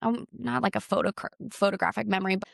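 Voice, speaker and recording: A woman's voice: mid-range voice, fast, creaky, no room sound, clean studio sound. Chatty woman in her thirties. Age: 30s. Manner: rambling, restarts often, animated when quoting others. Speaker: podcast co-host. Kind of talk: casual chat.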